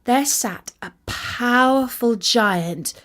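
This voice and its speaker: A woman reading a scripted fairytale for children in an expressive, deliberate storytelling delivery. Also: fairly high pitch.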